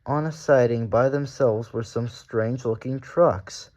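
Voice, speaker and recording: A man's voice: medium pitch, measured pace, a little mellow, muffled recording. A man narrating a children's storybook aloud as the story reader.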